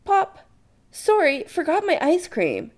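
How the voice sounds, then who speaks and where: A woman's voice: very high voice, wildly swooping pitch, takes it slowly, pitched above the performer's own voice, exaggerated voice. A woman voicing a breezy, effusive middle-aged mother who is a sorceress, with a cheerful, gushing manner.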